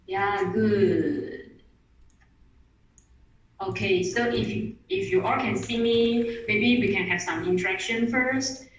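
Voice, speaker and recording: A woman's voice: mid-range voice, slow, a little hiss or hum. Eager woman presenting in an echoey room. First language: Mandarin. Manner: eager, repeats words and restarts phrases. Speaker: webinar speaker. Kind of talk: online presentation. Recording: echoey room audio from a distance.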